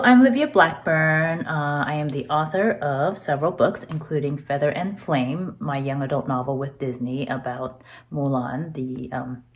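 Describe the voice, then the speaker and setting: A woman with a low voice presents a trivia question. She talks hesitantly and fills pauses with ums and uhs. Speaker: author.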